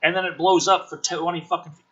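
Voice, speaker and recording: A man's voice: on the high side, flat delivery, quick pace, a bit bright, husky, lo-fi sound. A man reading a script aloud theatrically, with sudden emphasis and sarcastic, exasperated, profane commentary. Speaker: host reading and mocking a film script.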